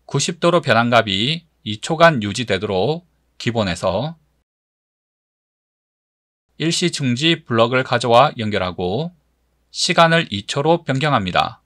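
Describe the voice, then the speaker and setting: A man giving scripted tutorial narration as an instructor, explaining step by step in a measured manner. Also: mid-range voice, expressive, fairly bright tone, dry recording, clean studio sound.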